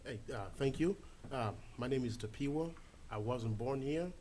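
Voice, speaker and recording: A man in his forties from Zimbabwe introduces himself at a candidate forum in a relaxed, hesitant way, full of fillers. His voice sits at a middle pitch, a little off the mic, with faint noise behind. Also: normal speed. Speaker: school board candidate.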